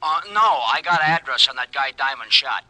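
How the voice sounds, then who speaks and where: A man's voice: mid-range voice, a little fast, sharp tone, poor audio. A man acting a hesitant, put-upon American junior policeman in an old radio drama. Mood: sheepish.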